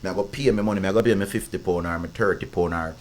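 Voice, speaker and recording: A Jamaican man speaking as an interview guest, his voice big and very low. He talks quickly in heavy patois, piling up phrases and stopping to ask if he's understood. Faint noise sits under the speech. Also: fairly even intonation, a little mellow. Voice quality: big deep voice. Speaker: guest artist. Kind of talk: casual interview chat.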